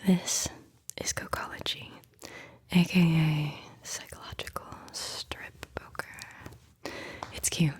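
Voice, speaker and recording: A woman's voice: low voice, steady tone, slow, slightly rough. A woman whispering a playful guided visualization as an ASMR host, close to the mic.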